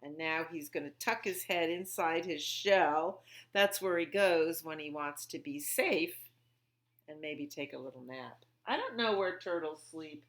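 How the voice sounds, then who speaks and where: A woman leads a storytime for small children. Her pitch sits a little low for a woman and her pace is very unhurried. She talks in simple, child-directed phrases, leaving gaps and posing questions as she goes. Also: a bit bright. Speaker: library storytime host. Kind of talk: children's storytime with songs, rhymes and read-aloud.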